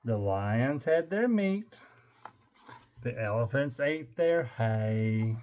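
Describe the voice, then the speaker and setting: Slow, very animated older man reading aloud. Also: medium pitch. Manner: playful, stretches words theatrically, drops into wry muttered asides. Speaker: grandfather reading a picture book aloud. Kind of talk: animated children's read-aloud with joking asides.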